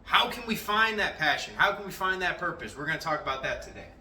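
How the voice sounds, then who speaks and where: Young male vlogger, high, very bright voice, profane and animated, with faint music behind. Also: quick pace. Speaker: motivational vlogger. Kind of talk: casual motivational talk. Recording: echoey room, faint music.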